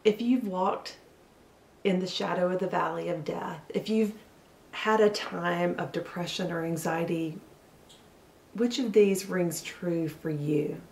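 A woman in her forties preaching a sermon from her living room, telling the story earnestly and slowing down for emphasis. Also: lowish voice, steady tone, vocal fry, a little mellow. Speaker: pastor. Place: living room at home, indoors. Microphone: camera a little way off, seated facing it. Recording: roomy sound from a distance.

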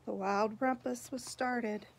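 A woman reading a picture book aloud to young children in an expressive, unhurried way, pausing to point out the pictures. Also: fairly high pitch, vocal fry, slight background noise. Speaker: storybook reader, teacher.

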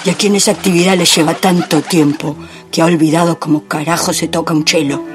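A man's voice: fairly high pitch, fairly even intonation, a little fast, lightly acted. A man playing a brooding, melancholy husband in a poetic theatrical monologue. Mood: bitter, mournful. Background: music.

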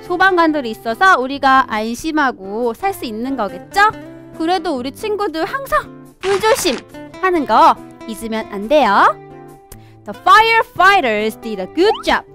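High-pitched, animated young woman teaching children. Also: slow pace. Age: young adult. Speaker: children's English teacher and storybook presenter. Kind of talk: children's lesson reading a storybook aloud with explanations. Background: background music.

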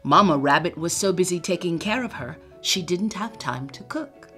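A woman's voice: fairly low pitch, expressive, normal speed, pushed and bright, soft background music. An older woman reading a children's story aloud expressively, with asides to young listeners, indoors on a webcam. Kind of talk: children's read-aloud narration. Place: indoor room with bookshelves.

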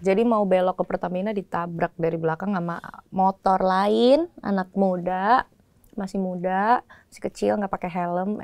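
A young woman in her twenties, a podcast guest from East Nusa Tenggara, telling a story in casual chat, animated and quick to laugh. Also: mid-range voice. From East Nusa Tenggara, Indonesia. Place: small restaurant-style studio set, indoors.

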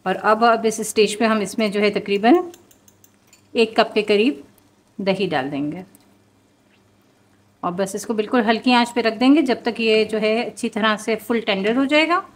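A woman's voice: medium pitch, a little slow. A woman, hosting a home cooking show and explaining each step in a chatty way with many fillers. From Pakistan.

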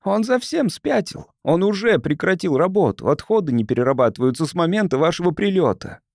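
A man's voice: a little high, very animated, brisk, creaky, a little mellow, no echo, studio quality, pitched above the performer's own voice. A man voicing an anxious, haughty colony councillor in an audiobook, speaking formally with vehement disdain. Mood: alarmed.

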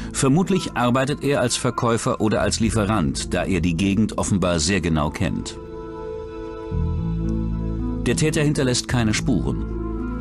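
A man reading scripted true-crime documentary narration as the narrator. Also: fairly low pitch. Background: background music.

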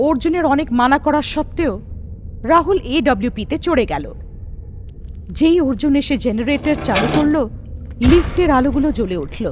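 A woman's voice: high-pitched, expressive, moderate pace, long pauses, slight background noise. A woman reading scripted horror story narration as the storyteller. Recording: music behind the voice.

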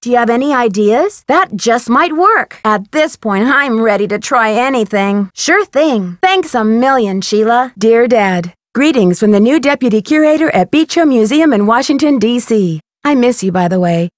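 A woman plays a bright young American girl detective from a mystery adventure game. Her voice is high and crisply articulated, with an earnest, polite, inquisitive manner. The sound is dry and close, like studio game dialogue. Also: lively intonation, normal speed, hardly any pauses, dry recording, natural voice. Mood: polite, probing. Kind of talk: scripted video game voice acting.

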